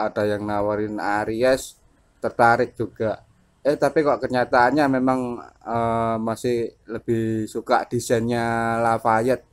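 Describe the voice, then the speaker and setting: A man with speech, a radio hobbyist chatting informally and a little haltingly as he hosts a vlog. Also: mid-range voice. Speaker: amateur CB radio operator and vlogger. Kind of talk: casual radio chat.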